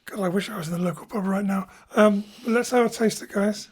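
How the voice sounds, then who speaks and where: A woman's voice: medium pitch, brisk, husky. A woman hosting a casual discussion about theatre and audio drama.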